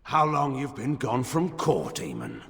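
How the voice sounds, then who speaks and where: An actor playing a stern, imperious middle-aged regent and general in a fantasy game, speaking in formal, cutting oratory. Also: male voice, medium pitch, wildly swooping pitch, unhurried, fairly bright tone. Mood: menacing, scornful. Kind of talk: dramatic fantasy game cutscene acting. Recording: long reverberant hall echo.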